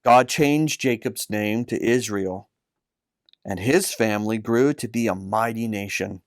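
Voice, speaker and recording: A man reading a scripted children's Bible story as its narrator, in a measured, earnest manner. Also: fairly low pitch, dry recording, clean studio sound.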